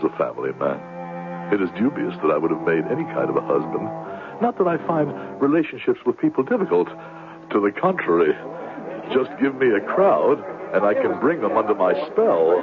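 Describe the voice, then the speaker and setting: An actor in a 1970s radio drama playing a smooth-tongued con man and medicine-show pitchman with florid, pompous phrasing. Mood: wistful, confiding. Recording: tinny, noisy 1970s radio recording.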